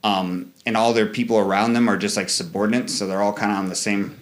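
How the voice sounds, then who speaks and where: A man's voice: flat delivery, fast. A man in his thirties, a Marine veteran guest in an interview, talking casually with many fillers and restarts and acting out quoted exchanges. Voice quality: fairly high voice for a man.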